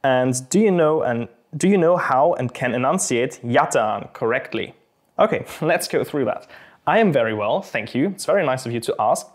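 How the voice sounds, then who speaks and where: Young man, from Austria, native Austrian German speaker, British-leaning English accent, medium pitch, friendly, thoughtful, a bit hesitant, casual Q&A host. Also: expressive, normal speed. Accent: Austrian German mixed with British English. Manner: friendly, thoughtful, a little hesitant with frequent ums. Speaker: channel host and fencing instructor. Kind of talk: casual Q&A to camera.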